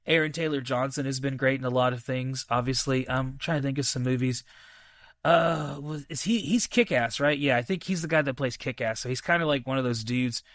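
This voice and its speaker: A man's voice: medium pitch, a bit bright, dry recording. Rambling man reviewing a film, dry close sound. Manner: rambling, halting, thinking aloud. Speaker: movie review podcast host. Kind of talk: casual solo film review.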